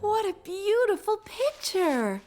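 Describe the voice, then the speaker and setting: Slow, expressive cartoon mom. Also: female voice, extremely high pitch, faint music behind, full character voice. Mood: delighted, tender. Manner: affectionate, gushing. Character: tender, appreciative cartoon mom. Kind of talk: preschool cartoon acting.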